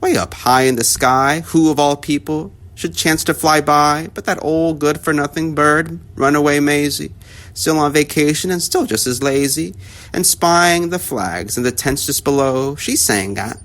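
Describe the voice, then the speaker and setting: A man reading a rhyming children's story aloud with expressive, rhythmic storytelling. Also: fairly high pitch, a little slow.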